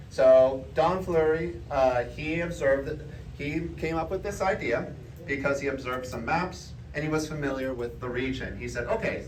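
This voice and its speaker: A man giving an informal public lecture, explaining things in a conversational way to a live audience. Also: mid-range voice. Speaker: presenter giving a geology talk. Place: indoor room before a live audience. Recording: roomy, distant mic, faint background noise.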